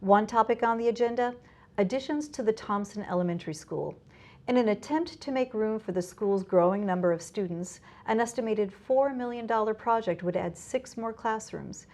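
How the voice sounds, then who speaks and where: A woman's voice: mid-range voice, moderate pace, darkish tone. A woman with an American accent reads scripted local news as an anchor.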